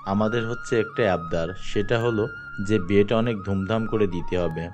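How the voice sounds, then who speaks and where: Man acting a low-voiced, demanding groom's father. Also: moderate pace, natural voice. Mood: firm, demanding. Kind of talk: scripted cartoon dialogue. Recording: music behind the voice.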